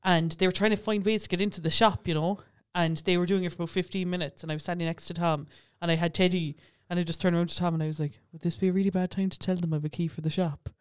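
A man's voice: high-pitched, fairly even intonation, racing, hardly any pauses, smooth. A man with an Irish accent chatting casually as a podcast co-host, telling comic stories in a giggly, sweary way.

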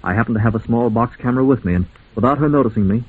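A man playing a smooth, deceitful businessman in an old-time radio mystery, on a muffled vintage recording. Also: medium pitch, rapid-fire, natural voice. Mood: grave, confiding. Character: smooth deceitful businessman framing his partner's wife.

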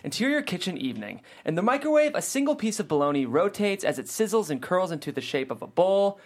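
A narrator reading screenplay stage directions aloud in an animated storytelling manner. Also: mid-range voice, quick pace.

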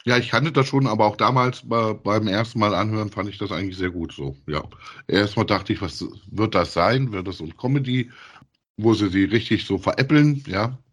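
A middle-aged man co-hosting a casual review podcast, speaking in a halting, hesitant way. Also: fairly low pitch, a bit bright, slightly rough. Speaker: podcast co-host. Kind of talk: casual review chat about audio dramas.

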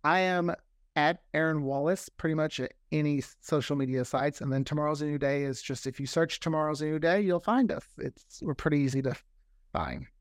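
A man's voice: fairly high pitch, a little fast, no room sound, clean studio sound. A man chatting casually as a podcast guest, enthusiastic and talkative. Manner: enthusiastic, chatty, often quoting imagined dialogue. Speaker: podcast creator, interview guest. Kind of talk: casual chat.

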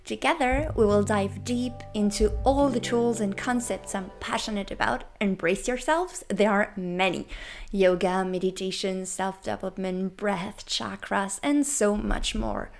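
A woman's voice: medium pitch, unhurried, gravelly. French-accented woman, podcast host, playful and upbeat, rising questions to the listener, mid-range voice. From France. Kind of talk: scripted solo podcast talk.

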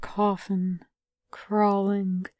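Woman, story reader, slow, hushed, fragmented phrasing, smooth clean voice, dry close mic. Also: mid-range voice, flat delivery, no echo. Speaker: podfic reader. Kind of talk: scripted fan fiction reading.

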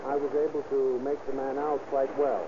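An actor playing a careful, literal-minded male eyewitness, measured and hesitant, in 1930s radio drama style. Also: mid-range voice, little pitch movement, lightly acted. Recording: muffled, hissy old radio recording.

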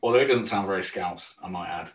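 A man's voice: deep voice, quick pace. A young man co-hosting a football podcast in casual, conversational sports chat. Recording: roomy, distant microphone.

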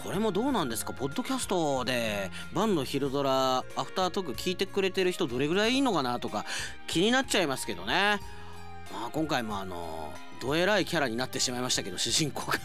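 Lively high-voiced male radio host, soft music behind. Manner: animated, conversational, playful. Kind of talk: chatty radio presenting. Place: radio studio. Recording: studio voice over faint music.

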